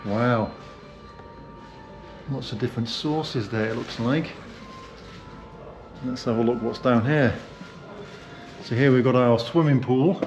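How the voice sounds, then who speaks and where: A man's voice: medium pitch, slow, pauses often, highs cut off, over music. A man with a British accent hosting a casual vlog, chatting loosely with plenty of fillers as he walks through a hotel and sauna, recorded on a handheld camera. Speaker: vlog host. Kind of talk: casual walking-tour vlog. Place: indoors, hotel and sauna cellar.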